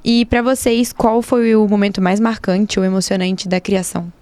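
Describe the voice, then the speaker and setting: A woman's voice: medium pitch, little pitch movement, moderate pace, darkish tone. A young Brazilian woman hosting a podcast, asking questions and responding conversationally. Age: young adult. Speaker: podcast host. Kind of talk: conversational interviewing.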